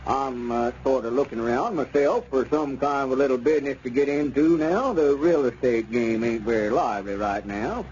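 An actor playing a sly, unctuous small-town squire who talks in a hesitant, roundabout way in radio comedy. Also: male voice, mid-range voice, normal speed, few pauses, a little mellow, close to an everyday voice. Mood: wheedling. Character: wheedling small-town squire, sly real-estate dealer. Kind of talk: 1930s radio comedy acting. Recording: dull, noisy old radio recording.

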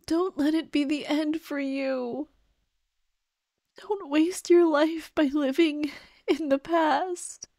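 Woman acting a slow, high-voiced, tender ghost girlfriend. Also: warm tone, no room sound, studio quality, heavily acted. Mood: anguished, pleading. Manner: slow, tender, sighing, pleading. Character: gentle, grieving ghost of a dead girlfriend, comforting, pleading. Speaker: ASMR roleplay performer. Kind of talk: soft ASMR roleplay acting.

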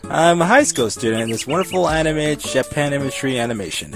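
A young man voicing a bitter, foul-mouthed high school boy who rants sarcastically in an anime parody dub. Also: animated, fast, vocal fry, fairly bright tone. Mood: sullen narrating. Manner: ranting, sarcastic. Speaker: narrator and lead character. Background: background music.

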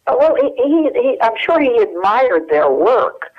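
An American woman in her eighties reminiscing casually and haltingly as an interview guest over the telephone. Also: on the high side, big pitch swings. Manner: halting, searching for memories. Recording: dull, lo-fi telephone hook-up.

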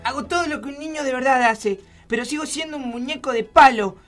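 A man's voice: very high voice, a little fast, fairly bright tone, faint music behind. A man voicing a sad, whiny wooden puppet boy, plaintive and whimpering, in a comic radio play.

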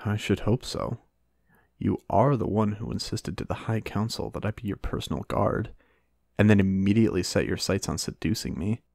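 A devoted, earnest knight, played by a man in a romantic fantasy audio roleplay. His voice is fairly low and recorded dry, without room sound. He speaks to his queen in formal, courtly phrases. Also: moderate pace, creaky, darkish tone, no echo. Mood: dry, fond.

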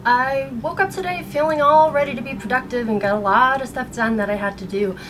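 An American woman in her thirties speaking in an earnest, halting way, upset and shaken, as in a personal vlog. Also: high voice, fairly bright tone, rough recording. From United States. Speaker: vlogger. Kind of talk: personal video blog, partly reading aloud. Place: small bedroom at home. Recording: noticeable background room noise.

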